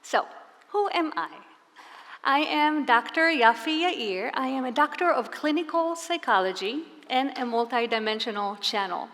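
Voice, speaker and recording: A woman's voice: high voice, animated, moderate pace. A woman from Israel gives a live presentation to an audience, speaking earnestly and asking them rhetorical questions. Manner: earnest, warm-spoken storyteller with rhetorical questions. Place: large hall before a live audience. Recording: long hall reverb.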